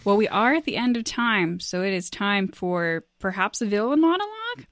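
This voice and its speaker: A woman hosting a game show in a lively conversational style. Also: medium pitch, moderate pace, no echo, studio quality.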